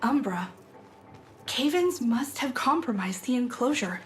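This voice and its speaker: A woman's voice: fairly high pitch, lively intonation, measured pace, faint music behind. A woman playing a determined, earnest young operator in a video game. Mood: focused. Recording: echoing voice, faint background ambience.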